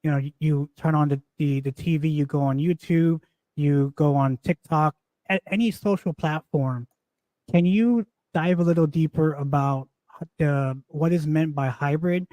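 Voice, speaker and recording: Man, mellow tone, dry close sound, polite, halting, unscripted question from a class participant. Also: fairly high pitch, no echo, dull audio. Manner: polite, halting, repeats words as he goes.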